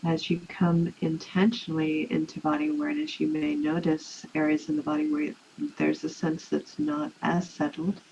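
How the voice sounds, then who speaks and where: A woman leading a guided relaxation meditation in an unhurried, soothing way, leaving long pauses. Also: low voice, flat delivery, a little mellow. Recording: muffled, with clear background noise.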